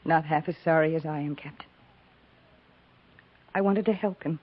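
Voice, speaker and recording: A woman acting a reserved, grieving frontier woman in a 1950s radio melodrama, halting and full of regret. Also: low voice, fairly even intonation. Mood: regretful, restrained. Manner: halting, confessional, restrained. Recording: muffled 1950s radio recording.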